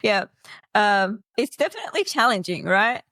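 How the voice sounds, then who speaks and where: A woman's voice: medium pitch, big pitch swings, moderate pace, a bit bright, no room sound. A woman hosting an interview, encouraging her guest and restating the guest's points back to her. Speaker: podcast host. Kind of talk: casual interview conversation.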